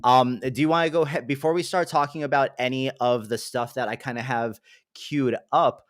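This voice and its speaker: A man's voice: mid-range voice, fairly even intonation, fairly quick, dry recording, studio quality. A young man hosting a casual livestream, upbeat and chatty, with lots of fillers. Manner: upbeat, greets chat, filler-heavy. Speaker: livestream host.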